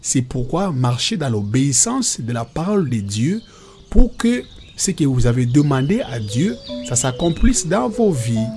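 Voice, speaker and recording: Slow, expressive man playing a preacherly cartoon father. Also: medium pitch, music in the background. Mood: earnest, instructive. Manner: didactic, preacherly, deliberate. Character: devout father patiently teaching his children.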